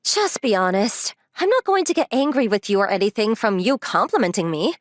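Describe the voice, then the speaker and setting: A woman's voice: mid-range voice, big pitch swings, a little fast, no echo, studio quality, natural voice. A woman playing a confident, teasing young girlfriend in a romcom manga dub, speaking bluntly and making playful demands.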